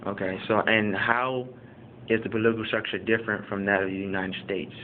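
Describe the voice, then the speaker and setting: A man interviewing a fellow student in a casual, halting way, restarting his questions and using many fillers. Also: fairly low pitch, level tone. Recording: tinny phone-like line with faint background noise.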